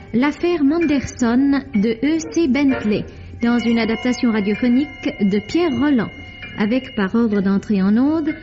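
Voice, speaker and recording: A woman's voice: on the high side, dark tone. A woman announcing on mid-century French radio, reading formal scripted credits with composed enunciation. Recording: muffled vintage radio broadcast.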